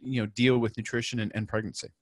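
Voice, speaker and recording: A man hosting a podcast interview, talking in a halting way with many fillers and restarts. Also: mid-range voice, little pitch movement, no echo. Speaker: podcast host.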